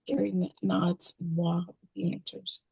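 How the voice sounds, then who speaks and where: Gravelly woman reading stage directions. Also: fairly low pitch, level tone, a little slow, a little mellow, dry recording, rough recording. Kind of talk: scripted screenplay narration.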